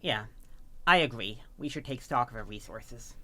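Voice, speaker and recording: An actor playing a worried, reassuring young student peacemaker in an amateur audio drama, measured and conciliatory. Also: medium pitch, animated, sharp tone. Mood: worried, practical.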